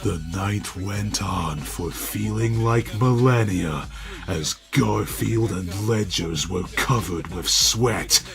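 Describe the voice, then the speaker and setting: A man narrating a scripted dramatic story in a deliberate, mock-serious manner. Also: lowish voice, vocal fry, music in the background.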